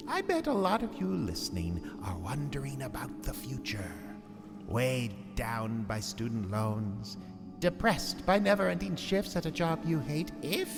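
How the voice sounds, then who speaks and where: A man's voice: fairly high pitch, gravelly, heavily acted. A man playing a sinister, gleeful old warlock who narrates horror tales theatrically in a radio drama. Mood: mock sympathy. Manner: theatrical, macabre, mockingly cheerful. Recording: long cavernous reverb, music behind. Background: music.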